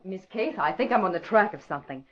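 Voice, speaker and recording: Actress as ambitious young newswoman, animated mid-range voice, vintage radio sound. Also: medium pitch, quick pace, close to an everyday voice. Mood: eager, confiding. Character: eager, ambitious young female newspaper reporter. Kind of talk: 1940s radio acting. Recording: muffled vintage radio broadcast.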